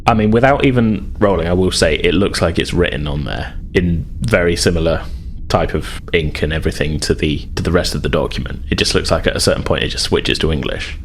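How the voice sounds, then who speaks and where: A man narrating a tabletop role-playing game as game master, casual and halting as he explains. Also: low voice, a little hiss or hum.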